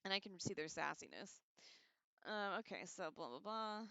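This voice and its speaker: A woman's voice: fairly low pitch, lively intonation, normal speed, pushed and bright, no echo. A woman hosting a casual, rambling livestream discussion with an irreverent, slangy manner, on a slightly dull microphone. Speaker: livestream host.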